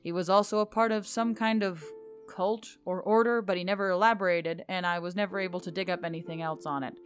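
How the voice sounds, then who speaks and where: A woman voicing a wry, weary male boss of a magical tech office in an audiobook story, speaking in a measured, murmuring way. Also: on the low side, steady tone, soft background music, close to an everyday voice. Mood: pondering. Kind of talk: audiobook character dialogue.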